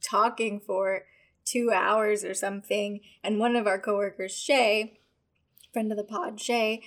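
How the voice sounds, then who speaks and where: Unhurried woman with a higher voice. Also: little pitch movement. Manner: measured, self-conscious, unhurried. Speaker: podcast co-host. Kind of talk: casual chat.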